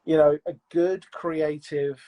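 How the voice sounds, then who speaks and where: A man's voice: a little high, fairly even intonation, takes it slowly, dark tone. A man being interviewed about producing audio fiction, talking in a reflective, rambling way with lots of "you know"s and restarts. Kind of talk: casual interview chat.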